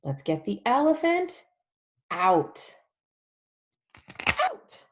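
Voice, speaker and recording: A woman leading an interactive storybook read-along for young children, animated and emphatic, using simple repeated phrases and questions. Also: a little high, drawn-out words. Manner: animated, emphatic, often drops to a whisper.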